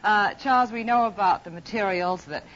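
A woman's voice: medium pitch, moderate pace, fairly bright tone. A woman with an American accent speaking as a poised, articulate, formal television host in a polished interview style. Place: television studio. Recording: muffled, noisy 1950s television broadcast.

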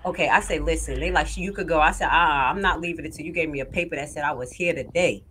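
A woman's voice: low-pitched, quick pace, fairly bright tone. Casual woman host, new jersey voice, laid back, laughs a lot, slight background noise. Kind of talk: casual phone conversation.

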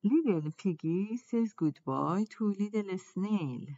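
A woman reading a children's picture book aloud in simple, deliberate phrases for young listeners. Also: mid-range voice, lively intonation, dark tone, lo-fi sound.

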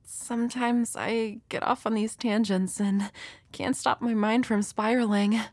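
A woman's voice: on the high side, fairly even intonation, measured pace, darkish tone, no echo. A woman playing a shy, flustered young woman next door, nervous and hesitant, in an intimate romantic audio roleplay. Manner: nervous, hesitant, stammering, giggly.

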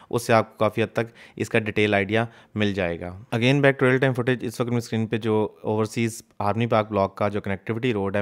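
A man's voice: medium pitch, clear, resonant tone. A man narrating a voice-over of drone footage of a construction site, explaining a map and the building work step by step and mixing in English terms. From Pakistan. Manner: explanatory, walks viewer through map and footage; mixes English terms into his speech. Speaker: real estate consultant.

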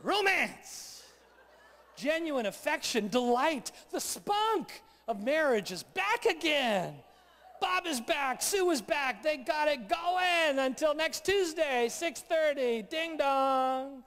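A man with a strikingly high voice tells a comic story from the stage, animated and playful, adding vocal sound effects along the way. He sounds like a preacher at a live conference. His voice rings with the long reverb of a large hall. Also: slow pace.

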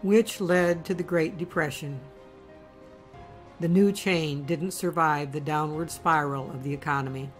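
A woman giving scripted documentary narration in a measured, storytelling delivery. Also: sits low, steady tone, soft background music.

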